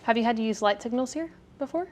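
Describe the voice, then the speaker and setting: Fast-talking woman in casual interview chat. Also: on the high side, lively intonation, a little mellow. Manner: informal, uses y'all. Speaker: pilot and channel host. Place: inside an airport control tower.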